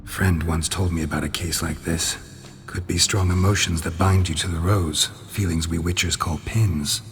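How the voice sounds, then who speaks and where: An actor playing a blunt, world-weary monster hunter in a video game, with a gravelly, hushed voice and terse, deadpan delivery. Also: male voice, sits low, moderate pace, soft background music. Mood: grave, frank. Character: stoic, blunt male monster-hunting witcher, world-weary. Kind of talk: restrained video game acting. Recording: reverberant hall, noisy ambience behind.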